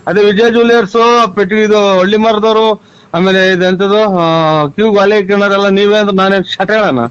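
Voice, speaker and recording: Man on a muffled phone call, high smooth voice, rural Tumakuru accent, rambling and baffled, protesting. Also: fairly even intonation, normal speed, dry recording. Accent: rural Tumakuru Kannada. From Tumakuru district, Karnataka. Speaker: prank call recipient. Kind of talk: casual phone conversation.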